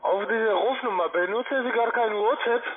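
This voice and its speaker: A man's voice: high-pitched, a little fast, a crowd in the background. A man with a foreign accent speaking as a call center agent over a tinny telephone line. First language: not German. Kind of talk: phone conversation.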